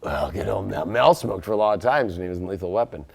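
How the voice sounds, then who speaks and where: A man with a raspy voice hosting a casual live tarot reading, rambling and excitable, with lots of fillers and sudden loud outbursts. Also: low-pitched, quick pace, slight background noise. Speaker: tarot reader and astrologer.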